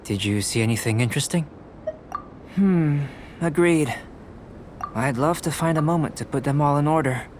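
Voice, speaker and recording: A man playing a young, scholarly alchemist in a video game, speaking in a measured, polite, analytical and detached way. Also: fairly high pitch, a little mellow, slight background noise, close to an everyday voice. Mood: curious, composed.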